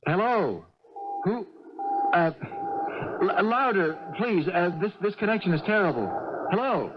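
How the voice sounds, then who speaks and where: An actor playing an anxious, worn-out idealist husband in a 1980s radio drama, agitated and sometimes stammering, on a noisy old recording. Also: male voice, high voice, expressive, a little slow, lo-fi sound. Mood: alarmed.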